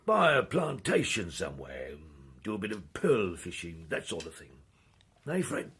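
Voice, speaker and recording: An actor playing a gruff, grumbling middle-aged sea skipper with a raspy, creaky voice and rough colloquial speech, in a radio drama. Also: male voice, sits low, huge pitch swings, normal speed, a bit bright, full character voice. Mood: wheedling, affable. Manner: colloquial, uneducated sailor's speech.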